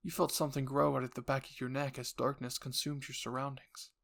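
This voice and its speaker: A man's voice: medium pitch, little pitch movement, fairly quick, creaky, a bit bright. A man narrating an audio roleplay story in the second person with an earnest, theatrical delivery.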